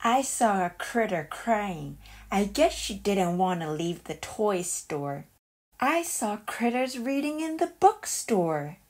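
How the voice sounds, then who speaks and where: A woman reading a children's picture book aloud as a storyteller, in an expressive and deliberate way. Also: mid-range voice, slight background noise.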